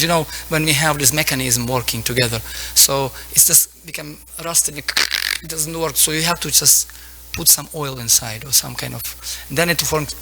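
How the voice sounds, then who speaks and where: A man with a fairly high, bright and forceful voice delivers a devotional lecture. He speaks English as a second language, in short broken phrases, pausing to search for words as he explains scripture. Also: vocal fry, breathy edge, poor audio. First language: not English. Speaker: preacher giving a scripture lecture. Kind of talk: devotional sermon with Sanskrit quotations.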